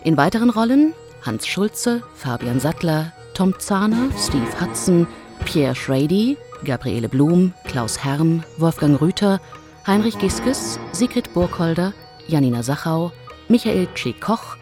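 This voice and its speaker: A woman reading a radio drama's scripted announcement in a measured, formal manner. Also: on the low side, lively intonation. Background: music.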